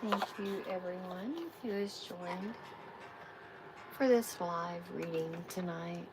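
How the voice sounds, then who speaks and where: A woman hosting a live tarot reading, giving spiritual guidance in a soft, soothing way. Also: on the low side, noisy background. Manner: soft, unhurried, soothing affirmations.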